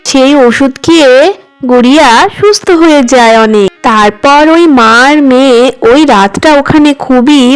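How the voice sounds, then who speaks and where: A woman narrates a children's tale in a high-pitched voice. The sound is smooth and clean, suited to scripted cartoon storytelling. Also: low-quality recording.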